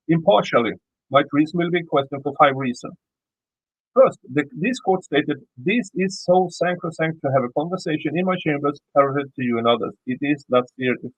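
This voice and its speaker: A man with a Swedish accent reads dense legal text aloud in English, tripping over words and backing up to restart them, then cutting in with blunt asides of his own. His voice sits a bit high for a man and is slightly husky. It is recorded dry and a little muffled. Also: no room sound. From Sweden. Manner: stumbling, restarting words while reading. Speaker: YouTube commentator. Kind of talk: reading a legal filing aloud with commentary.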